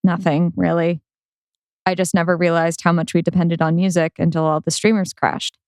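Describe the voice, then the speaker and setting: A woman's voice: lowish voice, flat delivery, moderate pace, a little mellow, clean tone, no room sound, studio quality, lightly acted. A woman playing a tired, understated woman on a long drive in a screenplay read-through. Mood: weary. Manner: weary, understated.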